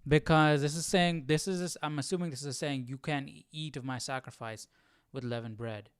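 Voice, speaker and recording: A man's voice: a little high, steady tone, moderate pace, smooth, no echo, clean studio sound. A man hosting a casual live Bible study, thinking aloud, questioning and often repeating phrases.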